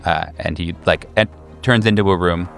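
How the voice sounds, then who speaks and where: Deep-voiced man running a tabletop game. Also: vocal fry, a bit bright, faint music behind. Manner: casual, halting narration. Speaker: game master. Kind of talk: improvised tabletop horror narration.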